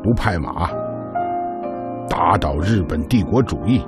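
An elderly storyteller in a radio drama. His voice is deep and slow, amused as he reminisces, with string music behind him. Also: animated. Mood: nostalgic, amused. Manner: unhurried, amused, chuckling storyteller. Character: old man reminiscing about Red Army days.

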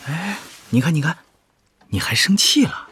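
An actor playing a weary, dutiful young fisherman husband in a radio drama, husky and sighing as he reassures. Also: a little high, animated, normal speed, a bit bright, exaggerated voice. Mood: coaxing. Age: 20s. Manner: soothing, sighing, earnest.

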